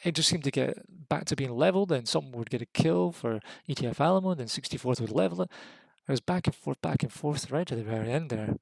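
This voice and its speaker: A Scottish man giving live, casual, excitable commentary on a competitive flight game match, voice jumping up at the big moments. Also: medium pitch, brisk, gravelly, few pauses, dry recording, rough recording. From Scotland. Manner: excitable, rises sharply at kills; casual and jokey.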